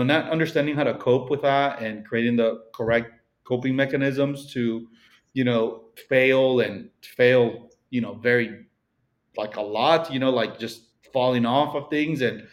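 A man with a Mexican accent, a real estate broker talking as a guest in a casual interview, rambling with many fillers and restarts. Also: mid-range voice.

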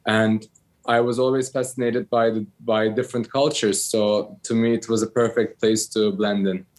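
Man, 30s, from Turkey, low voice, hesitant interview guest, lots of ums and self-corrections. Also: flat delivery, moderate pace, darkish tone. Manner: hesitant, many ums and restarts. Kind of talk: casual interview answers.

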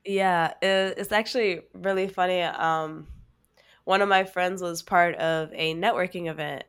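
Stuffy woman with a cold, kinda low voice, rambly chatty podcast talk, says like a lot. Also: fairly even intonation, unhurried, a bit bright, smooth. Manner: chatty, storytelling, leans on "like" a lot. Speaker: podcast co-host. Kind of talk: casual chat.

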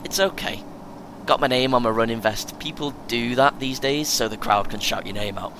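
Audio play: male actor as cocky, earnest young British runner, chatty know-all, bright edge, average pitch, light engine rumble underneath. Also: normal speed, natural voice. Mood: upbeat. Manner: earnest, self-important, chatty, chuckles often. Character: chatty, boastful, eager young amateur marathon runner. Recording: faint vehicle noise behind. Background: vehicle rumble, coach ambience.

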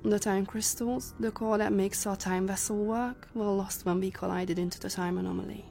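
Sci-fi audio drama: actress as female time-traveler leader, mellow, measured, in command, light music bed. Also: mid-range voice, steady tone, creaky, lightly acted. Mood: grave, explaining. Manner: measured, authoritative, explanatory. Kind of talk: science fiction audio drama acting.

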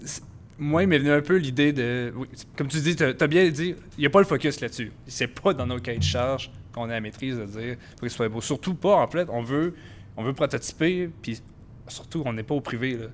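Young man, relaxed self-mocking guest, mid-range voice, faint rumble. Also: fairly quick. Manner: relaxed, self-mocking, uses English slang. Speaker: guest, robotics master's graduate. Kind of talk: casual interview chat.

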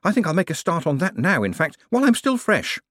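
A man voicing an excitable male craftsman who talks eagerly and breathlessly. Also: high-pitched, fast talker, dry recording, clean studio sound, voice pushed up, heavily acted. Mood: chatty.

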